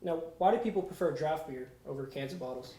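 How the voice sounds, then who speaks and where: A man's voice: a little high, fast talker, dark tone. A man working as an interviewer, putting prepared questions to his guest. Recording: roomy echo with background noise.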